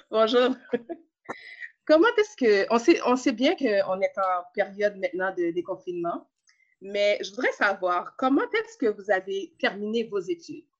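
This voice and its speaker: Radio host, reflective questions, mid pitch, slightly muffled lo-fi sound. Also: animated, a little slow, long pauses. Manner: warm-up questions, reflective follow-ups. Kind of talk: hosting a radio interview.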